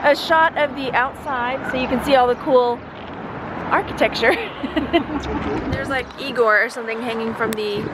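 High-voiced woman chatting on a vlog. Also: unhurried. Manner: chatty, informal. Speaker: vlog host. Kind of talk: casual vlog chat with a friend. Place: inside a car, outdoors, and at a restaurant patio. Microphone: camera at arm's length. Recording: noisy car and outdoor handheld camera audio.

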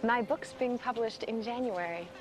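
An actress as an upbeat young writer. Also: female voice, on the high side, normal speed, background noise, close to an everyday voice. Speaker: author. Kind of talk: TV drama acting. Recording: drama audio with music score.

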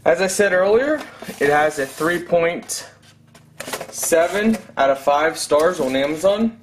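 A man's voice: fairly high pitch, expressive, slow pace, slight background noise. A man in his thirties doing a casual product unboxing review, talking as a reviewer at home. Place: room at home.

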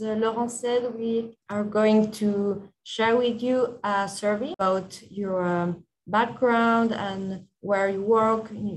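A woman's voice: mid-range voice, a little mellow, slight background noise, rough recording. A young woman introducing a survey to participants in an online workshop, speaking carefully over a video call. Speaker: meeting organizer. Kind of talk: online meeting facilitation.

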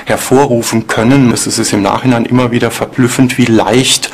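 A man's voice: mid-range voice, steady tone, moderate pace, breathy edge. A man explaining his view in an interview as a sceptical investigator.

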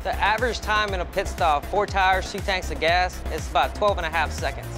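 Fast-talking, high-voiced young man. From San Antonio, Texas. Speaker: pit crew tire changer. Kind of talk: interview. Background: music.

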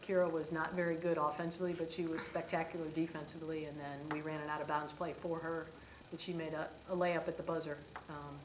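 Woman, middle-aged, basketball coach, low voice, rapid, matter-of-fact, post-game press conference, echoey room. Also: little pitch movement, noisy background. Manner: brisk, matter-of-fact, with frequent "um" and "you know". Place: press room before a sponsor backdrop, seated at a table.

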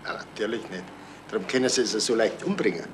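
An actor playing a dogged, sardonic police detective in a radio crime drama, blunt, sceptical and insistent. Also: male voice, fairly low pitch, expressive, quick pace, close to an everyday voice. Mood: sardonic pressing. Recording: noisy 1970s radio drama recording.

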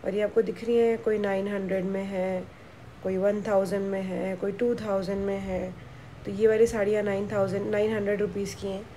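A woman's voice: mid-range voice, level tone, moderate pace, dark tone, a little hiss or hum, low-quality recording. A woman in her thirties vlogging casually on a phone held at arm's length, mixing English words into her Hindi. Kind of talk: casual vlog narration. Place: outdoors by a garden, then at home, then in a busy shop.

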